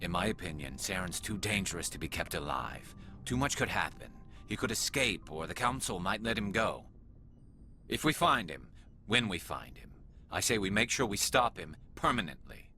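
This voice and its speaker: An actor playing a frustrated, earnest and intense alien soldier loyal to the crew in a video game. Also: male voice, low voice, expressive, normal speed, pushed and bright, soft background music, exaggerated voice. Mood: grimly determined.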